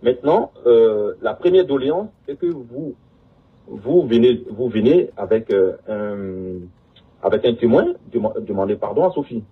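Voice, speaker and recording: A man speaking haltingly in a recorded phone conversation, stammering and repeating his words. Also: fairly low pitch, lively intonation, slightly rough. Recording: muffled leaked phone call, distant, narrowband.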